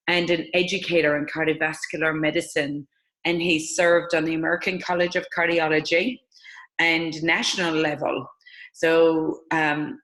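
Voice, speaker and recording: A woman's voice: low voice, fairly bright tone. A middle-aged woman with an Irish accent, hosting a conversational interview. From Ireland.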